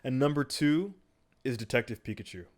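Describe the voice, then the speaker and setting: A man's voice: mid-range voice, expressive, brisk. Man joking in casual podcast banter. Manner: joking, rambling banter, often trails off. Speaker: podcast co-host.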